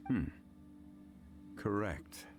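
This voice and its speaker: A man playing a composed, learned gentleman investigator in a video game, speaking formally and deliberately with an analytical manner. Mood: pondering. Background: game music.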